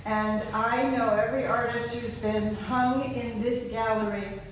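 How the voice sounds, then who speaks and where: A woman hosts a gallery event, speaking loudly and directly in a fairly high voice. She is heard from a distance, her words bouncing around a very echoey indoor room with a faint hiss. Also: steady tone, a little slow. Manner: loud, chatty and direct. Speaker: gallery host. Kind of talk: introducing a speaker. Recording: very echoey room, voice far from mic, faint noise.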